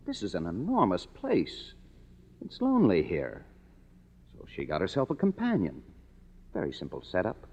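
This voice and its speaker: A man's voice: deep voice, huge pitch swings, normal speed, pauses often, darkish tone, exaggerated voice. An actor playing a cynical, sardonic younger man, a struggling writer, in 1950s radio drama style. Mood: bitter sarcasm. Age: young adult. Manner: sardonic, hard-boiled. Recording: muffled old radio recording, hiss, echoey hall.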